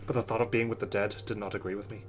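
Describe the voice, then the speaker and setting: A man's voice: lowish voice, steady tone, very fast, over music. A man hosting a let's play, reading visual novel narration aloud and adding casual asides. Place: recorded voice-over with game music behind.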